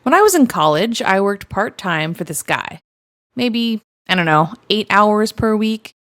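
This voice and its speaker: A woman's voice: mid-range voice, sing-song, a little slow, fairly bright tone, dry recording, studio quality. A woman narrating first-person stories in a wry, matter-of-fact way. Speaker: narrator reading online stories.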